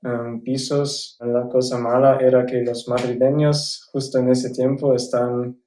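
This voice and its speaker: Young native German-speaking man as interview guest, slow and even, a little mellow, in an echoey room. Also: medium pitch, a little hiss or hum. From South Tyrol, Italy. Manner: careful, measured learner's Spanish with restarts. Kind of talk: casual interview answers.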